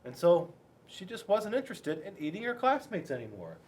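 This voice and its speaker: A man's voice: high voice, wildly swooping pitch, moderate pace. A man reading a picture book aloud and chatting to children, playful and full of rhetorical questions. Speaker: school resource officer reading to children.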